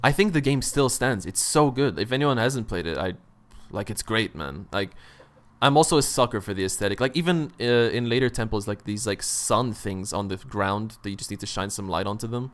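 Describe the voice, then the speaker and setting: Low-pitched man chatting casually. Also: expressive, fairly quick. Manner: informal, peppered with slang and swearing. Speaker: gaming podcast co-host. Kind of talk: casual gaming podcast chat.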